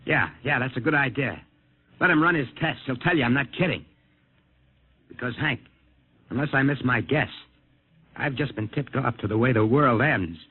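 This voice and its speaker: Guy acting as a shaken test pilot pleading his case, 1950s radio serial, rough voice, tinny old recording. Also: medium pitch, close to an everyday voice. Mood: earnest, haunted. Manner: urgent, halting, earnest. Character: shaken, insistent male rocket test pilot. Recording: muffled old 1950 radio broadcast recording.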